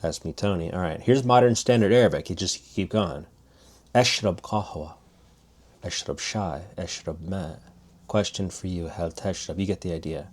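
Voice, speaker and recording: A man's voice: low-pitched, moderate pace. A man giving a loose, casual spoken language lesson, often restarting his sentences. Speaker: language teacher.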